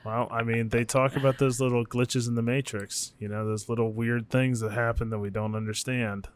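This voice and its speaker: A man's voice: medium pitch, flat delivery, moderate pace. A man co-hosting a podcast in an informal, musing, chatty way with the odd casual swear word. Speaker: podcast co-host.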